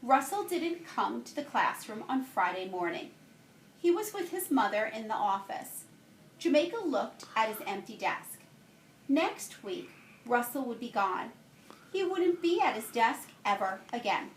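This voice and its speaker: A woman's voice: a little high, sing-song, unhurried, a little hiss or hum. A woman who works as a teacher, reading a children's picture book aloud expressively. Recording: roomy, echoey home-style recording.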